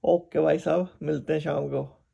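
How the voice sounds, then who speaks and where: A sleepy, hoarse man chatting casually to a phone for a vlog in a bedroom. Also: fairly high pitch, fairly even intonation, moderate pace, muffled recording, background noise. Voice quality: husky, gravelly. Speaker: vlogger.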